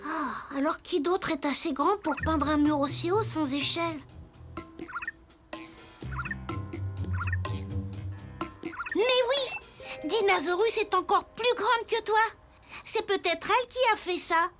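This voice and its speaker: Very high little puppet boy detective. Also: moderate pace. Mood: inquisitive. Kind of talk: children's cartoon dubbing. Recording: music behind the voice.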